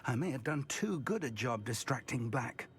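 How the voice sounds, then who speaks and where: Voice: mid-range voice, expressive, normal speed, natural voice. An actor playing a shrewd, composed professor in a fantasy game, articulate and dry. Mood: resolute, wry. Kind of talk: dramatic fantasy video game acting.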